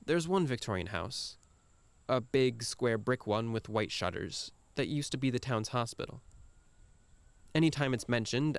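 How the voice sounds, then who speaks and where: A man's voice: mid-range voice, smooth, dry recording. A man performing a scripted first-person monologue in a reflective, musing manner with a wry edge.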